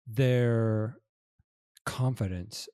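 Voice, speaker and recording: A middle-aged man co-hosting a casual podcast conversation, talking in a halting, thoughtful way. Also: medium pitch, dark tone, clear, resonant tone, no echo, studio quality. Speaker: podcast co-host.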